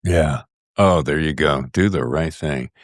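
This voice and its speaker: A man's voice: rumbling low, measured pace, dry recording, clean studio sound. A man hosting a casual book interview, musing aloud and rephrasing his questions as he goes. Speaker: podcast host interviewer.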